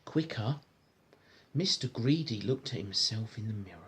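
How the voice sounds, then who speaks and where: A man reading a storybook aloud to a child in an informal, encouraging, gentle way, with chatty asides. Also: fairly low pitch, animated, moderate pace. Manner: encouraging, gentle, echoes the child's words back. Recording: home recording with faint background noise.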